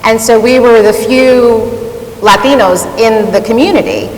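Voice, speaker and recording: A woman who is a senior news anchor, telling stories from her life as a panelist and acting out remembered conversations. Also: unhurried. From New York. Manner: animated, acts out remembered exchanges, laughs easily. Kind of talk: panel discussion, personal storytelling. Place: large event hall. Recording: echoey hall, faint rumble.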